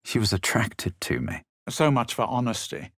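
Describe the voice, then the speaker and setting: A man playing a self-assured, haughty fantasy adventurer in a video game, speaking in a measured, composed, faintly superior way. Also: medium pitch, sing-song, fairly bright tone, no room sound, studio quality. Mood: cool, measured. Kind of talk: video game character lines, scripted.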